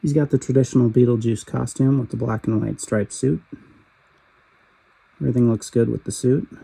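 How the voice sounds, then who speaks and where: A man narrating a toy figure review, a little raspy from a cold, in a steady, matter-of-fact way. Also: medium pitch, poor audio. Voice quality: husky. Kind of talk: calm voice-over product review.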